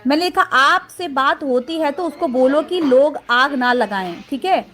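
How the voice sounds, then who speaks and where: A woman's voice: high voice, animated, unhurried, sharp tone. A woman doing casual vlog commentary, reading messages aloud and addressing viewers directly in an indignant, emphatic manner.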